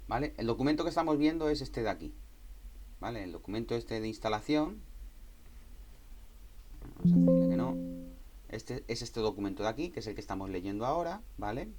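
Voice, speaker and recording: A man's voice: mid-range voice, expressive, a little fast, long pauses, music in the background. A man giving an informal hands-on technical tutorial, explaining step by step and often repeating and rephrasing as he goes. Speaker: tutorial presenter.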